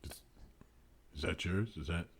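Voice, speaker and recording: A man playing an earnest, literal-minded seeker in improvised comedy, speaking in a halting, deadpan way. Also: sits low, breathy edge. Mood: puzzled, deadpan.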